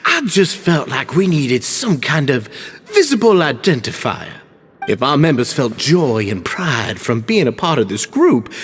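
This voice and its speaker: An actor playing an earnest, courteous club founder in a video game, who speaks in a deferential, upbeat and slightly flowery way. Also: male voice, on the high side. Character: earnest middle-aged male club founder, courteous and idealistic.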